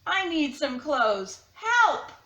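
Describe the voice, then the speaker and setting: A woman's voice: high-pitched, big pitch swings, extremely slow, bright, forceful tone, roomy sound, dull audio, heavily acted. A woman voicing an embarrassed, panicky peach crayon, whining and pleading in a storybook read-aloud.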